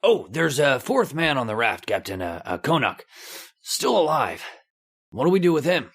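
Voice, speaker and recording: Guy as nervous sailor, yes-sir type, kinda hesitant, mid pitch, old radio drama. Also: very animated, moderate pace, a bit bright, breathy edge, heavily acted. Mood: uneasy, dutiful. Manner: deferential, hesitant. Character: dutiful, uneasy male sailor reporting to his captain. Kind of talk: old-time radio horror acting.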